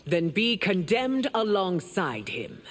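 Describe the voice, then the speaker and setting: A woman's voice: mid-range voice, sing-song, takes it slowly, heavy background noise. A woman playing a proud, stern empress in a fantasy video game, speaking in a regal and formal manner. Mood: scornful. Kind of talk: video game dramatic acting. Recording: long hall reverb, music behind.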